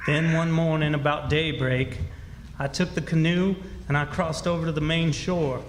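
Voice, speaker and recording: Man acting folksy backwoods boy, slightly high voice, artless storytelling, radio drama, hall reverb. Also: fairly even intonation, moderate pace, slight background noise, lightly acted. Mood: earnest, reflective. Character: plainspoken backwoods boy telling his story. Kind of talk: first-person radio drama narration. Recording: echoey live hall.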